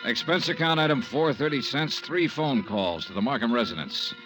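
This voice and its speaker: A man playing a hardboiled insurance investigator from 1950s radio drama. His mid-pitched voice swings animatedly through dry, clipped questions and terse narration, on a restored old broadcast with music. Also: lively intonation, moderate pace, close to an everyday voice. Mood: matter-of-fact. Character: hardboiled, dryly probing male insurance investigator. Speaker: detective and narrator.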